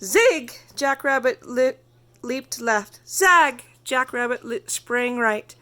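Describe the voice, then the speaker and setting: A woman reading a children's story aloud as narrator, expressive and carefully phrased. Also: a little high, slow. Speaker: storybook reader.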